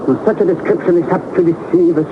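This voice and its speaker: Heavy noise covers a dull 1960s radio drama recording. A man acts an older professor and explorer with a high voice. He is excitable, pedantic and bossy, issuing orders and exclamations. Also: fast, natural voice. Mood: excited pedantry.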